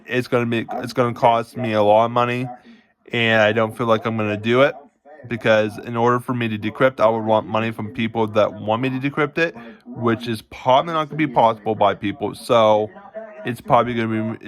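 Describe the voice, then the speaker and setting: Man, lowish voice, informal video-blog delivery. Hesitant, wandering phrasing with plenty of restatement. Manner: halting, hedging, repeats and restates himself. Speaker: self-described police department chief recording a vlog. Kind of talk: casual, rambling explanation to camera. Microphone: phone.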